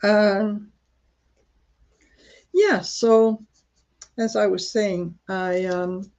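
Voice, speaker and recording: A woman from Croatia hosting a casual live stream, talking in a rambling, halting way with many fillers and restarts. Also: medium pitch, fairly even intonation, darkish tone.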